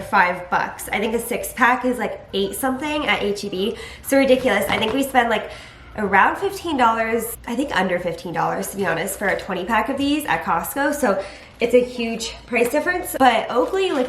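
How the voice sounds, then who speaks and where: A woman's voice: a little high, a bit bright, reverberant, a little hiss or hum. A young woman, a mother, chatting casually to camera at home, sounding congested from allergies and rambling with lots of "like". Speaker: vlogger, mother. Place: home, indoors.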